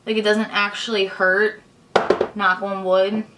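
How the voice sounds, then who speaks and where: A young woman, hoarse and congested, chatting casually while she explains each step of her makeup tutorial. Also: medium pitch, level tone, unhurried, background noise. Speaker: beauty vlogger. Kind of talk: chatty get-ready-with-me tutorial.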